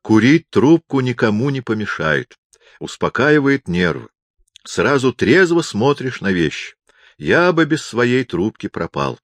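A man's voice: medium pitch, sing-song, measured pace, no echo, voice pushed up. A man voicing a kindly, oblivious old chaplain who is hard of hearing and bellows cheerfully in an audiobook. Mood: rambling, amiable. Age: older. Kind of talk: audiobook character voice.

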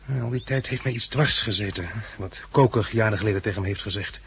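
A man acting a steady, reflective middle-aged husband and father in a 1960s radio drama, with a husky voice and a measured, earnest delivery. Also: lowish voice, a little hiss or hum. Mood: earnest, resolved.

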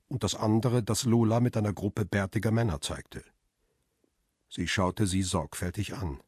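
Very deep, husky world-weary male narrator. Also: darkish tone, dry recording, studio quality, exaggerated voice. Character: world-weary male paparazzo narrating his own crime story. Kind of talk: first-person radio drama narration with dialogue.

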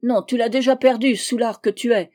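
A woman's voice: a little high, steady tone, normal speed, mellow, close to an everyday voice. Narrator as a feisty, emphatic village woman. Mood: bossy, bargaining. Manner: exclaiming, scolding, emphatic. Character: devout, feisty village woman, indignant, bossy, scolding.

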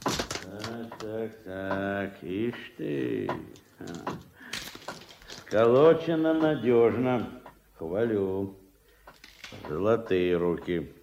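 An actor playing a gruff, shrewd, middle-aged fish farm boss in a radio play, giving curt orders in a gravelly voice. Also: male voice, mid-range voice, dark tone, full character voice. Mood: sly, approving. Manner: curt, bossy, clipped commands. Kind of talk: Soviet radio play acting. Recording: dull, lo-fi old radio recording.

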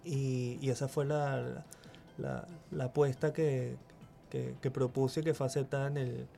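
A man's voice: mid-range voice, little pitch movement, measured pace, creaky, dark tone, soft background music. A man in his forties, born in Chile, speaking as a guest muralist in a radio interview, often repeating words as he searches for them. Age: 40s. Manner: hesitant, repeats words while thinking.

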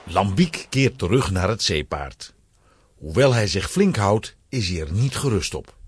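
A man's voice: on the low side, sing-song, measured pace, slight background noise. A man narrating a children's audio play in a scripted storytelling style.

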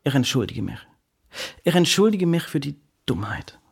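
An actor playing an anguished butcher's son who fears his father, in a radio drama, speaking haltingly with inverted word order and a gravelly, husky voice. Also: a little high, very animated, no room sound, clean studio sound, exaggerated voice. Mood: anguished confession. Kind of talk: radio drama, confessional narration.

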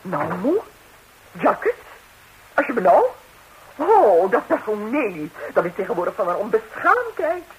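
A woman's voice: medium pitch, sing-song, a little slow, long pauses, rough recording. An actress playing a nervous, nosy married lady in a radio comedy, talkative and stammering as she repeats herself. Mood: indignant. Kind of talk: 1960s radio comedy acting in Dutch. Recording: hissy 1960s radio recording.

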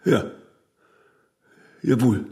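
An actor playing a famous older workaholic in a radio drama, vain and stubborn, given to philosophical pronouncements that end in little tag questions. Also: male voice, extremely slow, mellow. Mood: exhausted. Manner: rambling pronouncements, frequent tag "ne?".

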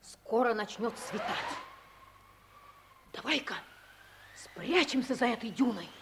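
A woman's voice: on the high side, takes it slowly, gravelly, noisy background, full character voice. A woman voicing a brave, determined young boy who leads his friend, in a 1950s radio play. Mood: eager. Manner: eager, commanding, lively.

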